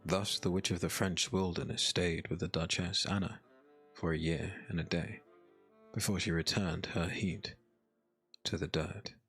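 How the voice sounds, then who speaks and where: Deep, gravelly male fantasy narrator. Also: fairly even intonation, a bit bright, faint music behind. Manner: measured, literary storytelling. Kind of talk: scripted dark fantasy audiobook narration.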